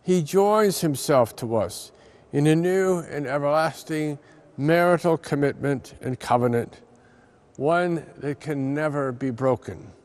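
A man in his seventies with an American accent, a priest reading a scripted reflection in a measured, solemn manner with deliberate emphasis. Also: a little high, darkish tone. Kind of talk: scripted reflection read aloud.

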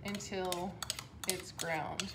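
Slow-talking woman in a home kitchen. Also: on the low side, very noisy. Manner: relaxed, unhurried, talks while working. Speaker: health coach. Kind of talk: casual cooking demonstration. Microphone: phone or camera on the counter.

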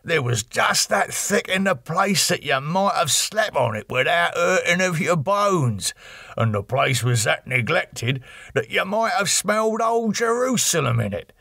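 A man voicing a rough, garrulous Cockney carter in an audiobook, complaining in colloquial exclamations. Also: fairly high pitch, animated, measured pace, sharp tone, no echo, studio quality, voice pushed up, exaggerated voice. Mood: spooked, exclaiming. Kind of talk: audiobook character dialogue.

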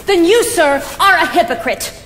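An actress playing a defiant young cleaning woman, blunt and deliberate, in declamatory theatrical style. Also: very high-pitched, expressive, fairly bright tone. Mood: accusing. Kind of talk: theatrical stage acting. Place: theatre stage, indoors. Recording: echoey theatre stage, background hiss.

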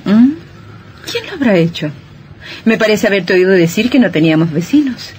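An actress playing a young, loving but uneasy newlywed wife in an old radio drama. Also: female voice, mid-range voice, animated, moderate pace, darkish tone, natural voice. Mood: curious, puzzled. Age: young adult. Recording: old radio recording with faint hiss.

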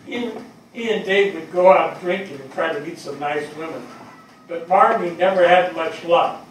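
A man's voice: a little high, natural voice. Stage reading: older male actor as weary, affectionate fire captain, conversational storyteller, distant and very reverberant. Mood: fond reminiscing. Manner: conversational, storytelling, with pauses and repeats. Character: weary, affectionate fire captain reminiscing about lost men. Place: small theatre stage before an audience. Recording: echoey theatre, far from mic, faint noise.

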